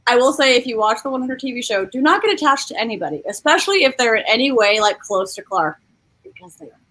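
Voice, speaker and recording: A woman's voice: fairly high pitch, expressive, fast, fairly bright tone. A woman in a casual group chat about books, explaining her opinions in a chatty way. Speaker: book discussion participant.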